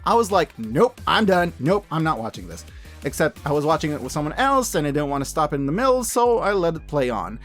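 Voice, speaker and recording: Male reviewer, very lively intonation, punchy casual enthusiasm, scripted commentary over background music. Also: fairly high pitch, fairly quick. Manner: enthusiastic, emphatic, casual and profane. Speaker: host of a media review channel.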